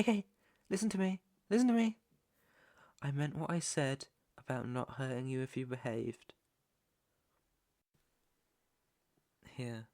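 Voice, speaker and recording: A British man playing a menacing, teasing mob boss's son in an intimate ASMR roleplay, with a deliberate, taunting delivery. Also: mid-range voice, darkish tone, natural voice. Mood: softening, soothing. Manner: slow, deliberate, taunting, swearing. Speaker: roleplay audio performer.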